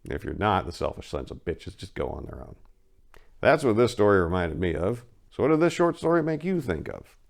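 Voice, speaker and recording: A man's voice: low voice, sing-song, brisk, creaky. A man who hosts a story-reading channel, talking casually and directly to his viewers. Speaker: story reader and channel host. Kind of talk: casual outro addressed to viewers.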